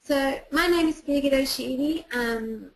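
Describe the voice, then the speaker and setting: A woman with an Austrian German accent gives an online presentation as a clinical psychologist, explaining patiently and pausing on frequent ums. Her voice sits high, and the sound rings around an echoey room.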